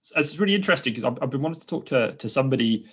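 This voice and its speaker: A man's voice: medium pitch, animated, very fast, breathy edge. A man, hosting a casual podcast interview and rambling through restarts and fillers. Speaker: podcast host.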